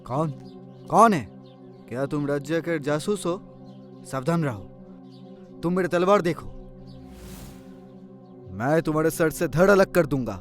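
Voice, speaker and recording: A man's voice: fairly high pitch, animated, a little slow, pauses often, faint music behind. A man voicing an honourable, wary fugitive warrior with a grave, solemn manner in a cartoon story. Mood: threatening. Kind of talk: Hindi cartoon moral-story voice acting.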